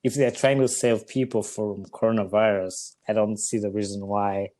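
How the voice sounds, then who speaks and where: Ugandan man, mellow low-voiced host. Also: normal speed. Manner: relaxed, earnest, frequent fillers and affirmations. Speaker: podcast host. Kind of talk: casual interview.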